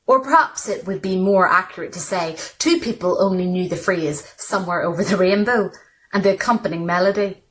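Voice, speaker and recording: A woman's voice: on the low side, brisk, rough recording. A woman reads literary short fiction aloud as a storyteller, in scripted narration. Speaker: story reader.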